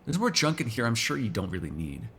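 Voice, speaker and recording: A man's voice: mid-range voice, very animated, fast, a bit bright, slight background noise. A man playing a sarcastic, teasing vampire who kills without regret, in casual, profane roleplay banter. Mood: teasing, exasperated. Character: snarky male vampire, restless unrepentant killer, teasing. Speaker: voice actor in an audio roleplay. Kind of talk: ASMR roleplay, casual one-sided dialogue.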